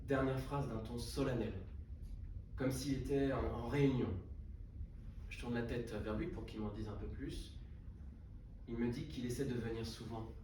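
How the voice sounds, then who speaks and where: A man of middle pitch reads a literary text aloud to an audience in a hotel salon, taking his time and sometimes stumbling and restarting a phrase. He sits well away from the microphone, so his voice rings through a strongly reverberant room. A steady layer of background noise runs underneath. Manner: unhurried reading with frequent hesitations and restarts. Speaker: reader at a book prize reading. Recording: echoey room, distant mic, background noise.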